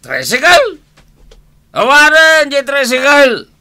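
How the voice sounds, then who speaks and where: Radio drama: belligerent drunk son, slurred, forceful, erratic pitch. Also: male voice, huge pitch swings, takes it slowly, exaggerated voice. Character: drunken grown son, surly and belligerent to his mother. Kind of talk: Ilocano radio drama acting. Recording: dramatic studio radio production.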